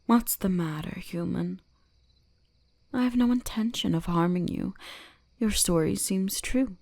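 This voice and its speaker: Woman voicing a slow, creaky, imperious fox spirit. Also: medium pitch. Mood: coaxing, dominant. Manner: slow, deliberate, imperious, teasingly gentle. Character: commanding, centuries-old female kitsune shrine guardian, cold yet fascinated. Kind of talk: scripted ASMR roleplay addressed to the listener.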